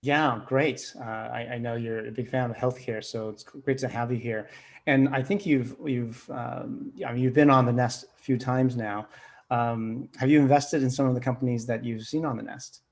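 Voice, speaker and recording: A man hosting an online investor panel, upbeat and brisk as he hands over to each guest by video call. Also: mid-range voice, fairly even intonation. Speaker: host.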